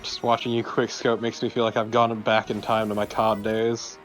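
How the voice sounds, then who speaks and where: A man's voice: on the low side, little pitch movement, moderate pace, faint music behind. A man giving casual video game commentary in a blunt, sweary, conversational way. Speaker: let's play co-commentator and gamer.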